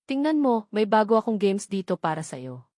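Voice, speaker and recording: A woman's voice: medium pitch, big pitch swings, moderate pace, darkish tone, dry recording, studio quality, lightly acted. Woman reading as a proud, eager-to-please rich father. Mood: coaxing.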